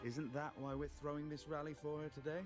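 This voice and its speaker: An actor playing a jaded, dismissive family member in a video game, with a dry delivery. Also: male voice, a little high, flat delivery, fast talker, lightly acted. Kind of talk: scripted video game voice acting. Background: game music.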